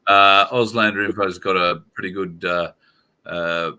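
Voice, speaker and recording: A man, a guest in a casual chat, joking around with a loud voice. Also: low voice, fairly even intonation, unhurried, clean tone.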